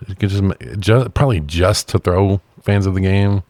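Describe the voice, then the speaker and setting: Very deep-voiced, sweary man chatting. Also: steady tone, normal speed, darkish tone, dry recording. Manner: informal, sweary. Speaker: podcast co-host reviewing films. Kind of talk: casual film review chat.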